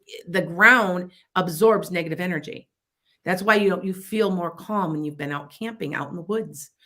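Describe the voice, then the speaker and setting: Middle-aged woman, Chicago accent, bright tone; chatty psychic radio host who rambles through her advice. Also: medium pitch. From Wisconsin near the Illinois border. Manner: rambling and chatty, with frequent restarts and asides. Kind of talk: casual advice talk on internet radio.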